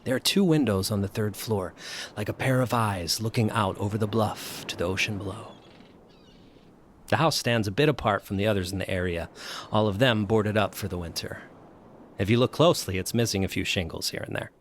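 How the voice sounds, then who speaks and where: An actor playing a fearful, solitary male professor who narrates an audio drama in a grave, hushed, deliberate way. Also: lowish voice, slight background noise, natural voice. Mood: wistful, reflective. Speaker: narrator and lead character.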